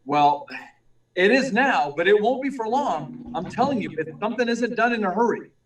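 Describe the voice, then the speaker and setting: A man's voice: sits high, lively intonation, moderate pace, far from the mic, a little hiss or hum. A man playing an earnest, urgent young newspaper reporter in a 1940s-style radio drama. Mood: urgent, insistent. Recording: echoey room, away from mic.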